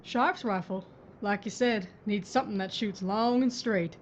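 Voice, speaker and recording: A woman's voice: medium pitch, measured pace, slight background noise, close to an everyday voice. A woman playing a blunt sheriff. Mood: steely. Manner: terse, dry, gritty. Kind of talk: audio drama acting.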